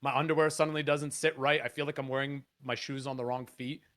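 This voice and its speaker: A man from Canada with a raspy, low voice, chatting on a podcast as a guest musician, animated and swearing freely. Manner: animated, swears freely, quick excited bursts. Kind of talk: casual podcast chat.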